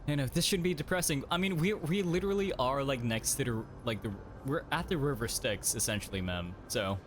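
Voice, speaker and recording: A man's voice: medium pitch, brisk, soft background music. A man streaming a game, chatting casually and rambling with lots of fillers and restarts. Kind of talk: casual chat while playing.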